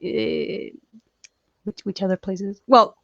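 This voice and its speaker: A young woman from Chile, a comic artist chatting as an interview guest, talking freely with halting restarts and easy laughs. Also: medium pitch, creaky, no echo. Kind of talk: casual interview chat. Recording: dull, dry lo-fi call audio.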